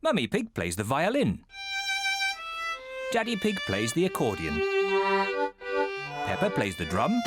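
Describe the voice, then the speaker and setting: A man narrating a children's cartoon in a gently amused, storyteller way. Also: medium pitch, wildly swooping pitch, unhurried, pauses often, loud music behind the voice. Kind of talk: scripted narration.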